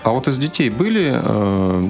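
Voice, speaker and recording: A man co-hosting a conversational radio interview, phrasing his questions and remarks in a measured, hesitant way. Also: medium pitch, sing-song, darkish tone, faint music behind.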